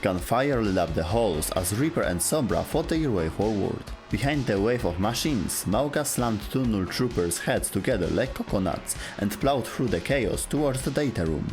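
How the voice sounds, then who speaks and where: Low, animated male lore narrator. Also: normal speed, few pauses, over music. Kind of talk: scripted dramatic lore narration.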